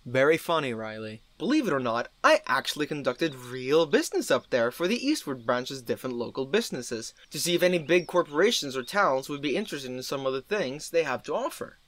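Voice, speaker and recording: A man voicing a courteous, brisk and sly railway owner. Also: medium pitch, sing-song, fairly bright tone, dry recording. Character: polite scheming male railway owner.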